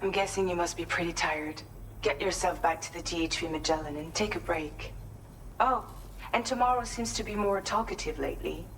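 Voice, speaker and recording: Distant, lowish, caring colleague. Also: female voice, fairly quick, a bit bright, slight background noise. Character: caring, composed woman colleague, lightly coaxing. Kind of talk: game dialogue.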